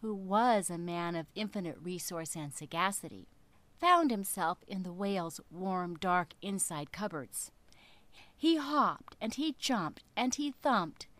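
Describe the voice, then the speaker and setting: A woman narrating a children's story expressively, with a deliberate, rhythmic, dramatic delivery. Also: lowish voice, lively intonation, a bit bright, dry recording.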